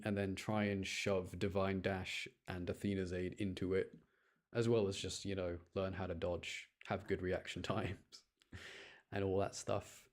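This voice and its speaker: A man giving casual gameplay commentary in an excitable way, repeating phrases for emphasis as he shows clips. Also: lowish voice. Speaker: gamer showing gameplay clips.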